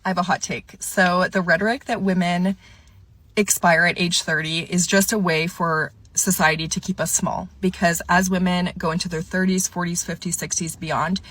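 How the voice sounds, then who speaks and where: A woman's voice: on the low side, fairly even intonation, normal speed, fairly bright tone. A woman voicing an assertive, opinionated take.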